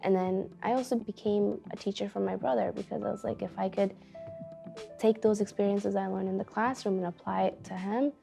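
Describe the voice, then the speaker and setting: A young woman who is a teacher, telling her family's story in an earnest, halting way with many fillers. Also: medium pitch, steady tone, gravelly, dark tone. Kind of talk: personal storytelling interview. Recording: faint background music.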